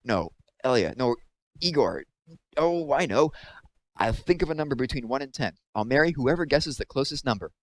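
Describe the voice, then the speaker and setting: Guy doing a ditzy young woman in a storybook, high voice, airy and slow, cant make up her mind. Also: expressive, lightly acted. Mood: dithering. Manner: airy, drawn-out, hesitant. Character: foolish dithering young woman choosing a husband.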